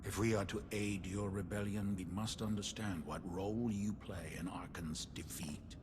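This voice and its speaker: A man's voice: deep voice, steady tone, moderate pace, close to an everyday voice. A man playing a cryptic whispery seer. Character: cryptic male seer, leader of prophets. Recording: game audio with ambient hiss.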